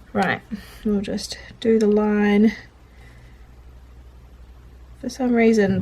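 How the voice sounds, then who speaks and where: A woman's voice: medium pitch, rough recording. A woman who is a bit congested chats in a rambling way while she fills in a journal, stopping to correct herself and talk to herself as she works. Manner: rambling, self-interrupting, talks to herself while working. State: congested, sniffly. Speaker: journaling vlogger. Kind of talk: chatty real-time journaling commentary. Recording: faint clicking and background noise.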